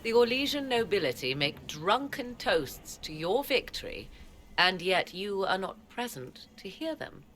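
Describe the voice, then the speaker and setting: An actress playing a haughty, cultured sorceress at an imperial court in a video game, speaking in formal, archaic, aloof phrases. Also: female voice, mid-range voice, sing-song, unhurried, a bit bright. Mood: wry, formal. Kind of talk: theatrical video game acting.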